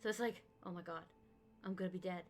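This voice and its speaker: A woman hosting a podcast, chatting casually in an energetic, booming way and laughing easily. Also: fast talker, soft background music. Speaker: podcast host. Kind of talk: casual interview chat.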